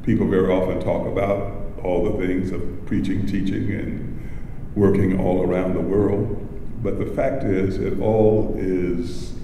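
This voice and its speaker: Deep-voiced man in his seventies at a podium. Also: dark tone, slight background noise. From Tennessee, US. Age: 70s. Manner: measured, reflective, with long pauses and repeated phrases. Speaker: award recipient giving acceptance speech. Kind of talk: formal acceptance speech. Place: large hotel ballroom banquet. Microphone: podium microphone. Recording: echoey ballroom from far off.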